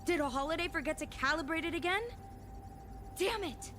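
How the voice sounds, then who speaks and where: A woman's voice: very high voice, a little fast, music in the background. A woman playing a desperate, hot-tempered young female pilot in a fan-made audio drama. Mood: frustrated, irritated. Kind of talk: fan audio drama acting, first-person narration and dialogue.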